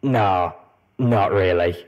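A man voicing a gruff, sardonic female griffin mercenary in a storybook reading. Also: low voice, slow pace, lo-fi sound.

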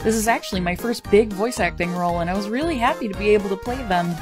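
A woman, a voice actor, giving a friendly scripted self-introduction to viewers. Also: mid-range voice. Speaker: voice actor and animator.

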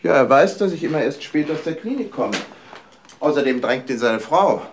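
An actor playing a courteous but easily indignant doctor, formal and assured, in a German crime radio play. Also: male voice, on the high side, animated, moderate pace, a little hiss or hum. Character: courteous, indignant, well-spoken male doctor.

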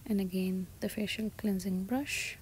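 A woman shopping vlogger giving soft, breathy, often half-whispered ASMR-style commentary as she describes items one by one inside a store. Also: mid-range voice, fairly even intonation, unhurried, low-quality recording. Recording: store ambience noise behind soft voice.

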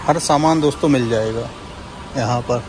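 A man's voice: mid-range voice, moderate pace, background noise. A young man walking viewers through a large indoor supermarket, talking casually to them on a handheld phone. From India. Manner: conversational, keeps saying "friends" to viewers. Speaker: vlogger. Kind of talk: walking tour vlog.

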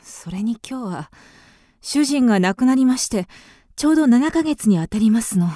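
Woman acting a proud, high-voiced refined widow. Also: lively intonation, normal speed, dark tone, no echo, clean studio sound, natural voice. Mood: polite, reserved. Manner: refined, formal, haughty. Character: proud, grieving young widow, haughty and indignant. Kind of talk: radio drama acting.

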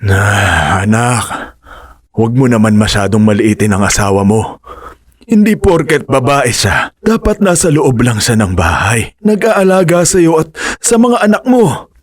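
A man's voice: medium pitch, sing-song, measured pace, slightly rough, no room sound, clean studio sound. A man playing an older father who scolds his grown son in a radio drama. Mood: scolding. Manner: stern fatherly advice. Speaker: voice actor.

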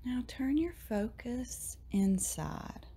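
A woman leading a guided meditation in a soft, breathy, often near-whispered voice, gently soothing, with long pauses between short phrases. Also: on the high side, big pitch swings. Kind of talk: guided meditation, slow and hushed.

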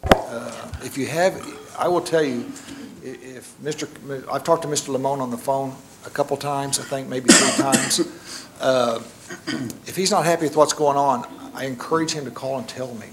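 A middle-aged man speaking at a school board meeting, informal and halting with many restarts. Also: mid-range voice, steady tone, very noisy. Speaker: state monitor addressing a school board. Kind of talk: public meeting remarks. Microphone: podium microphone.

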